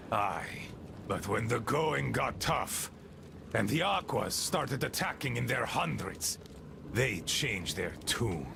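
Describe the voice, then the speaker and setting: Game role: bitter, deranged crippled gang boss, heavily creaky voice, slow and swinging widely in pitch. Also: male voice, noisy background, full character voice. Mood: angry, scornful. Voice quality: gravelly, creaky. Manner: rambling, scornful, theatrical swings from muttering to shouting. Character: unhinged, bitter, abandoned crippled gang leader talking to his boys. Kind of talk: video game character acting.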